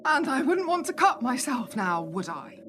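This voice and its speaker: A woman's voice: sits high, big pitch swings, normal speed. A woman playing a sarcastic, sceptical young woman in danger in a radio play, with a dry wit. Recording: clear background noise.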